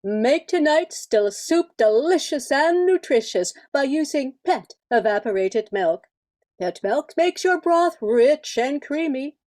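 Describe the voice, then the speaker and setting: A woman's voice: high-pitched, expressive, takes it slowly, a little mellow. A woman playing a helpful 1940s American radio cooking-show hostess, sweetly selling a recipe in an instructive manner. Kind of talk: old radio sponsor recipe pitch.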